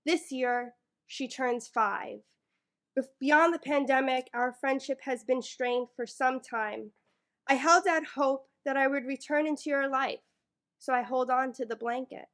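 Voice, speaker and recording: A woman's voice: high-pitched, a little slow, fairly bright tone. Nervous woman poet reading live. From New York, United States. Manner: a little nervous, many fillers between poems. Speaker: featured poet. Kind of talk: reading her own poetry live. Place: public library event room. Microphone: microphone in front of a live audience.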